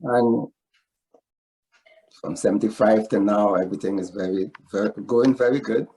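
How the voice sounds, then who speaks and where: A man's voice: fairly low pitch, moderate pace, mellow, poor audio. An older man from Trinidad with a Trinidadian accent, telling his story in an interview in a proud, earnest way. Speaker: interviewee, father of a footballer. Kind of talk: casual interview.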